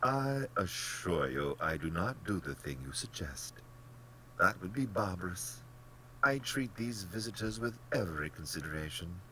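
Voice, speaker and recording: Pompous, wildly swooping aristocratic general. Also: male voice, low voice, very animated, slow pace, sharp tone, a little hiss or hum, voice pushed up, exaggerated voice, poor audio. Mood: blandly menacing. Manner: blandly polite, pompous, theatrically emphatic. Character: genteel, smug, cold-blooded aristocratic general who hunts men. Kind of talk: dramatic audiobook character acting.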